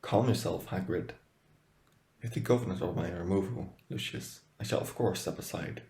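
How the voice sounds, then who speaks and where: A man playing a calm, wise elderly wizard headmaster in a fan audio drama, speaking softly and solemnly. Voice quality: low, gravelly, soft-spoken. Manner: measured, solemn. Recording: roomy, echoing voice track.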